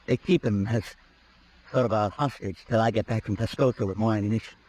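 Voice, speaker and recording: A man's voice: lowish voice, a little fast, a little mellow, natural voice. An actor playing a desperate, cornered gun smuggler in a 1950s radio drama, defiant and edgy, his voice climbing when he is pushed. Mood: scheming. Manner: edgy, desperate, voice rising under pressure.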